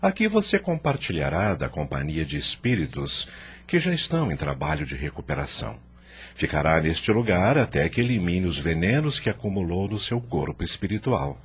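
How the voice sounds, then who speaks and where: An actor playing a calm, benevolent spirit guide in a radio drama, solemn and reassuring in a measured way. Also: male voice, deep voice, breathy edge, exaggerated voice. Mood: solemn, instructive.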